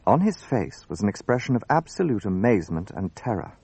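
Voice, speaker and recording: A man acting as an observant, earnest gentleman who narrates a murder mystery in a descriptive radio drama style. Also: lowish voice, very animated, brisk, husky, dry recording, full character voice.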